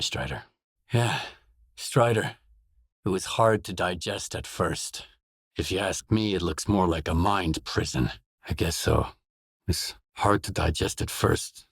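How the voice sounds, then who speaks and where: An actor playing a gruff, cynical and weary stalker in a video game, terse and sardonic in manner, with a husky voice. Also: male voice, sits low, dry recording, clean studio sound, exaggerated voice. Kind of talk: video game voice lines, dramatic acting.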